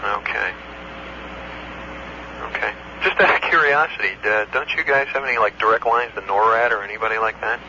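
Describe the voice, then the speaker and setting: A man, an air traffic control supervisor, recounting an incident in a matter-of-fact, halting way on an old taped phone call. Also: lowish voice, bright, forceful tone. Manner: matter-of-fact, halting recall with technical detail. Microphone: telephone.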